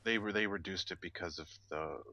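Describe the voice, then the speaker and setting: A man's voice: low-pitched, fairly quick, sharp tone, muffled recording. A man explaining game details casually on a podcast in a matter-of-fact, concise way. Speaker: podcast co-host. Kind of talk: casual explanatory gaming discussion.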